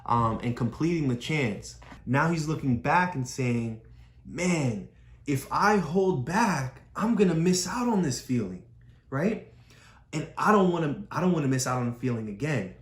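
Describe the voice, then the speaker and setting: A woman talking through a picture book with a child in a patient, explaining way, often repeating key phrases. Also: deep voice. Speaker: parent reading with a child. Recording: echoey room.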